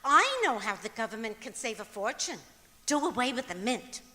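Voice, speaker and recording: A woman plays a ditzy comedy wife with a very high, bright voice. She reasons earnestly and absurdly, in old-time radio style. It is recorded in an echoey auditorium. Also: sing-song, moderate pace. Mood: bright, confidently illogical. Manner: earnest, naive, confidently illogical. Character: scatterbrained, cheerfully illogical wife in a radio comedy. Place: large auditorium stage, live performance.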